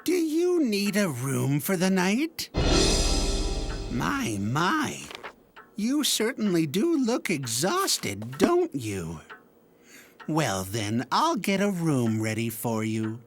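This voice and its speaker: An actor playing an eerie, courteous old hotel keeper in a video game, with unctuous politeness and slyly menacing teasing. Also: male voice, fairly high pitch, huge pitch swings, slow pace, soft background music, full character voice. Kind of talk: video game cutscene acting.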